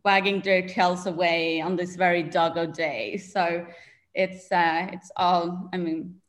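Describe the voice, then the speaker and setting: A woman's voice: lowish voice, little pitch movement, slow pace. A woman from Sweden with a Swedish accent, chatting casually as a guest in an interview and laughing often.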